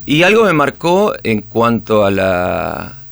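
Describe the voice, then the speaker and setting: A man's voice: on the low side, sing-song, slow pace, creaky, fairly bright tone, soft background music. A middle-aged man, chatting as a guest in an interview, hesitant with many restarts and fillers. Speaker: guest musician. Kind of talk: casual interview chat.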